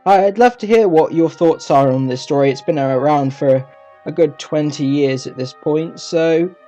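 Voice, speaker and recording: Guy doing a casual review, mellow soft-edged voice, bit halting, faint music under him. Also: on the high side. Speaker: reviewer, video host. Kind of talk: casual audio drama review.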